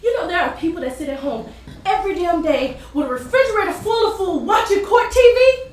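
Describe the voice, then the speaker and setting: Far from the mic in a very echoey theater, an actress plays a struggling wife and mother with a high voice. She is proud and indignant, delivering her stage lines with defiant, sarcastic emphasis over faint noise. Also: expressive, fairly quick. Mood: anguished, indignant. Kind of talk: theatrical stage play acting with dramatic monologue. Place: theater stage, recorded from a distance.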